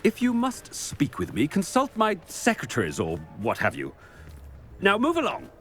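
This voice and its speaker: A man's voice: high voice, huge pitch swings, normal speed, fairly bright tone, soft background music, heavily acted. A man playing a haughty, brusque middle-aged wizard headmaster with a British accent in a video game, theatrical and pompous. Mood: imperious.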